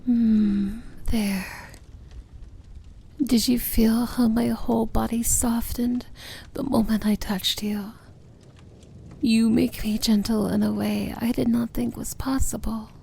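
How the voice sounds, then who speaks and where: A woman playing a powerful, possessive princess of evil in a romantic fantasy ASMR roleplay, breathy and often whispering, commanding yet tender. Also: on the high side, flat delivery, slow pace, mellow, a little hiss or hum. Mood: intimate, tender.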